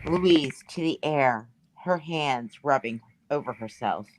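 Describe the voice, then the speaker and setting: A woman's voice: sits low, expressive, slow, slight background noise, low-quality recording. A woman reading stage directions as scripted narration at a play table read.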